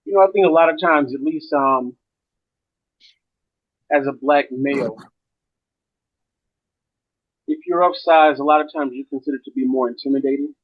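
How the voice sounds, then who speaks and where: A man in his forties from Chicago talks as a guest on a radio panel. He is relaxed and pauses often. His voice comes through a tinny phone line. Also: on the high side. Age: 40s. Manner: relaxed, speaks with pauses and fillers. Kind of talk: casual discussion.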